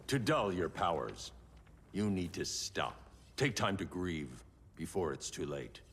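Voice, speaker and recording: Deep, brooding male vigilante hero. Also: big pitch swings, husky, heavily acted. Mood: somber resolve. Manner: terse, brooding, measured. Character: grim middle-aged male vigilante superhero, stern and principled. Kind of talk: dramatic game cutscene acting. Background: loud cinematic music.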